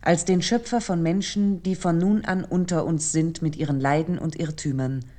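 A woman with a low, very soft-toned voice narrates a literary essay for radio. Her delivery is measured and formal, reflecting on the text as she reads. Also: steady tone.

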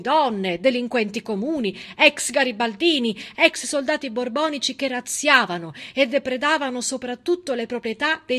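A woman delivering a theatrical storytelling monologue in a dramatic, emphatic way with deliberate pauses. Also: a little high, a bit bright. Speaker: stage actress and narrator.

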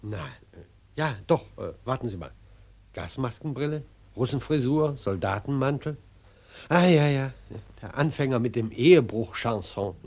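An actor in a German radio drama playing a glib, dismissive cabaret director, offhand and condescending. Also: male voice, mid-range voice, expressive, normal speed, darkish tone. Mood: distracted. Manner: distracted, offhand, patronising.

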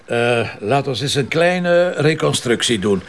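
A man's voice: mid-range voice, animated. A man playing a brusque, impatient Flemish police commissioner who questions witnesses in a detective drama. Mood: probing. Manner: brisk, probing, exasperated with subordinates. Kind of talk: naturalistic detective drama dialogue.

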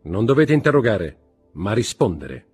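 A male narrator acts out a merciless magistrate confronting his wife in a storybook drama. He is commanding and full of contempt, with a husky edge to his deliberate, accusing delivery. Music plays faintly behind him. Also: fairly low pitch, big pitch swings, full character voice. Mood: cold, accusing. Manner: commanding, contemptuous, deliberate interrogation rising to shouts.

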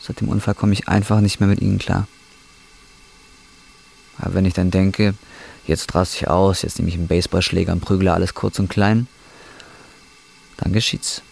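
A burnt-out, bitter young man in a radio drama. His voice is very low and gravelly, weary and blunt, with faint noise behind it. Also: flat delivery, normal speed, long pauses, darkish tone. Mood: despairing, brooding. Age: young adult. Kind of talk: radio drama acting, confessional dialogue.

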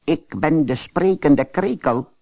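Man voicing a high-pitched, preachy old talking cricket. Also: animated, slow pace, vocal fry, darkish tone, heavily acted. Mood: solemn warning. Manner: solemn, scolding, foreboding. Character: stern old talking cricket, over a hundred, warning.

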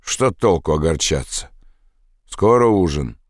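A man voicing a tired, terse soldier in an audiobook, replying curtly and calmly. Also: low-pitched, a little slow, no echo, clean studio sound. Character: weary foreign soldier, terse and calm.

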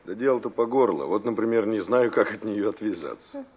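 An actor playing a dry, probing, impatient young male engineer in a 1960s Soviet radio drama, with a slightly husky voice. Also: fairly low pitch, lively intonation, fairly quick. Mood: dry, questioning. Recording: dull old radio drama recording.

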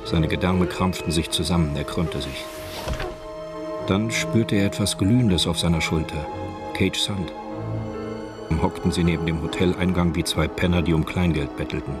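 A man's voice: sits low, normal speed, over loud music, natural voice. A man as a sober storyteller, reading scripted literary narration of a crime story in a radio drama. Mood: sober, grim.

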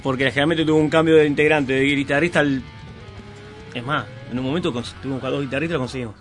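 A man with a Buenos Aires accent, a band member being interviewed, talkative and quick to laugh. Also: medium pitch, fairly even intonation, soft background music. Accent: Buenos Aires Spanish. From Argentina. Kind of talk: casual interview chat.

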